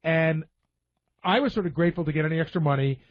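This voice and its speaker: A man's voice: fairly high pitch, quick pace, slight background noise. A man in casual radio talk, telling stories as a member of the show's staff. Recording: dull, muffled lo-fi audio.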